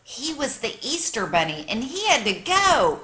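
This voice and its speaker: A woman reading a children's picture book aloud like a teacher, with expressive, dramatic storytelling for young listeners. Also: fairly high pitch, measured pace.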